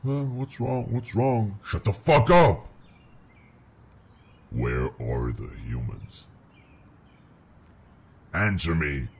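A man's voice: extremely low pitch, takes it slowly, long pauses, darkish tone, husky, voice pushed down, exaggerated voice. A man voicing a commanding, threatening demon bent on killing humans in an audio roleplay. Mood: furious, demanding.

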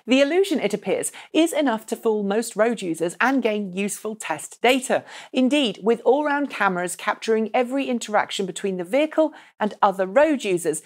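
A woman in her thirties narrating a scripted news explainer in an articulate, measured presenter's delivery. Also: medium pitch. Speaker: presenter of an online transport news show.

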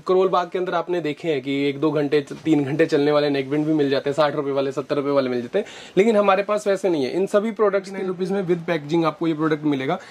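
A young man showing products in a warehouse, explaining things slowly with many fillers on a lapel mic. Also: on the high side, darkish tone, slight background noise. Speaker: warehouse business owner. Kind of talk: product walkthrough. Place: large indoor warehouse with shelves.

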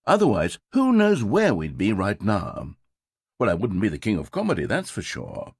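A fussy, theatrical game narrator. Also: male voice, fairly low pitch, wildly swooping pitch, normal speed, slightly rough, no echo, heavily acted. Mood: smug. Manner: theatrical, fussy, swings between pride and self-pity. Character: fussy, vain male narrator obsessed with comedy. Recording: dry close studio sound.

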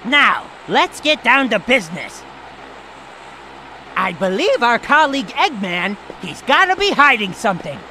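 A man's voice: very high-pitched, sing-song, unhurried, pushed and bright, slight background noise, full character voice, rough recording. A man playing a cocky, commanding young gang boss in a video game, bossy and brash. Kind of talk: video game cutscene acting.

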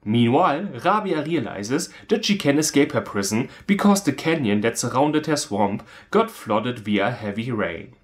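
A man narrates a comedic film review. His medium-pitched voice moves up and down a lot, with a sarcastic, irreverent edge. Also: lively intonation, unhurried, few pauses. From Germany. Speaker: movie reviewer, video host. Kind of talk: scripted comedic movie recap narration.

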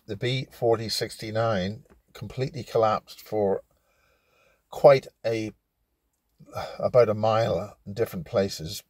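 A man's voice: lowish voice, a little mellow, no room sound. An older man hosting a casual video blog, talking in a rambling, hesitant way with many fillers. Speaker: host. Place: indoor studio, then outdoors by a road.

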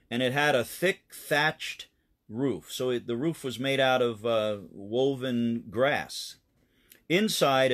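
Man reading a children's story aloud. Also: medium pitch. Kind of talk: read-aloud storytelling with simple asides.

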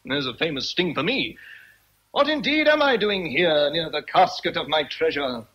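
An actor playing a sardonic, commanding master of a great house, theatrical in a radio drama. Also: male voice, on the high side, sing-song, normal speed, breathy edge, lo-fi sound. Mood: mocking.

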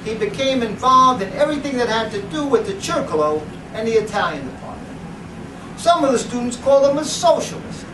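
A middle-aged man giving a dramatic, deliberate reading to a live audience, in a theatrical, declamatory way. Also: very high voice, lively intonation. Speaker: presenter reading at a book event. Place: indoor library event room. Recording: roomy, noisy, distant camera microphone.

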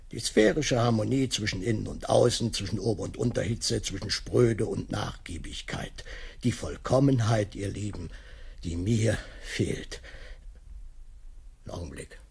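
An actor playing a pompous, timid master baker in a radio play, speaking rhetorically with a husky, creaky voice. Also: male voice, lowish voice, darkish tone, heavily acted. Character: brooding, grandiloquent master baker, timid and anxious. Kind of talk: 1980s German radio play acting.

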